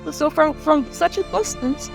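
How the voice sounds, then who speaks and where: A woman's voice: high-pitched, unhurried, vocal fry, over music. A woman giving casual, enthusiastic film commentary, repeating and rephrasing her points for emphasis. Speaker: filmmaker discussing films as a guest.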